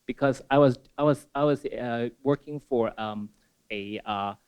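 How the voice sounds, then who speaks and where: A man's voice: medium pitch, unhurried, smooth, dull audio. A man who grew up in Hong Kong, an audience member asking a long, digressive question full of "you know". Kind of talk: Q&A question from the floor.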